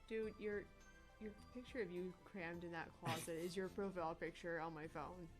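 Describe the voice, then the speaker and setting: A woman's voice: fairly low pitch, steady tone, moderate pace. Casual woman co-hosting a gaming stream. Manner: profane, teasing. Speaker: gaming channel co-host. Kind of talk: casual banter. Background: music.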